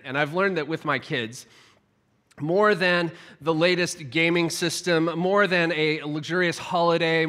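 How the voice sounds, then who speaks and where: Bright-voiced pastor preaching a sermon. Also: male voice, a little high. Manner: relaxed, humorous storytelling with many fillers. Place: church service.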